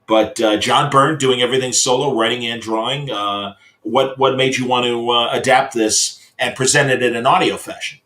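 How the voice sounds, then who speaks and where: A man hosting a live comics show in a casual interview style, upbeat and halting in his delivery. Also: mid-range voice, steady tone, pushed and bright.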